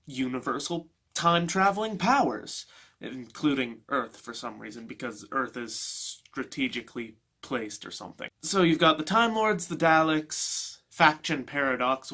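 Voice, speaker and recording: A man giving a casual, unscripted book review in an informal, opinionated way, halting as he gathers his thoughts. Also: mid-range voice, sharp tone.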